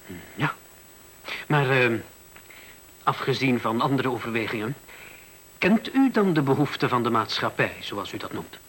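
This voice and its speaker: An actor playing a sceptical investigator who questions persistently and in measured words, in a Dutch radio play. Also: male voice, mid-range voice, lively intonation, fairly bright tone, husky, rough recording. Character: probing, sceptical male investigator writing a report. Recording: faint hiss, old radio recording.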